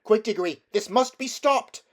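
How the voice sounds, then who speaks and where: A man voicing a spirited, bossy young girl in a storybook reading, brisk and exclaiming. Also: high voice, voice pushed up. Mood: urgent.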